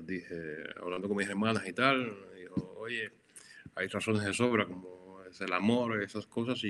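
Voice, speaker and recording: A middle-aged man from Cuba speaks with a Cuban Spanish accent in a fairly low voice. He answers interview questions in an unhurried, reflective way. He often stumbles into restarts and repeats his words as he thinks. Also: fairly bright tone, a little hiss or hum. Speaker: photographer, interview guest. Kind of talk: conversational interview.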